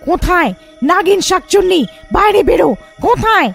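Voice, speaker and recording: An angry witch ghost out of a horror fairy tale acted by a woman. She is confrontational and accusing. The voice is very high, creaky and animated. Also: moderate pace, faint music behind, full character voice.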